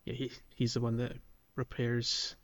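A man's voice: mid-range voice, monotone, unhurried, fairly bright tone. Easygoing man co-hosting a podcast. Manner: easygoing, agreeable, chuckles, uses fillers. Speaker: podcast co-host. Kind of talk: casual chat about a video game.

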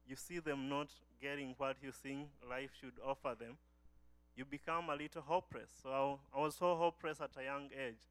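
A man's voice: medium pitch, a bit bright, clear, resonant tone, no room sound. A young man from Uganda giving an earnest church testimony as a guest speaker, often restarting his phrases.

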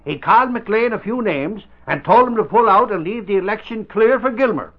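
A very high-voiced, blustery newsman bursts out of a dull vintage radio recording. He is a folksy, excitable comic sidekick who pushes every point hard. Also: normal speed. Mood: excited, urgent. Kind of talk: old-time radio drama acting. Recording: muffled old radio broadcast.

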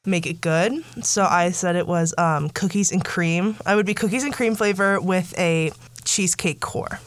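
A woman's voice: lowish voice, normal speed, no room sound. A woman in her late teens co-hosting a student show with casual, giggly, slangy banter, her voice a little scratchy from allergies. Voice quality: slightly scratchy, softened from allergies.

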